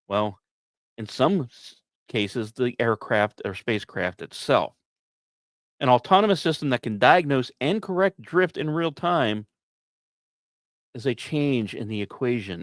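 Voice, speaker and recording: A man's voice: mid-range voice, no echo. A man hosting a podcast, reading scripted science news commentary with emphatic, dramatic phrasing and short punchy repeats, dropping in personal asides. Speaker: podcast host.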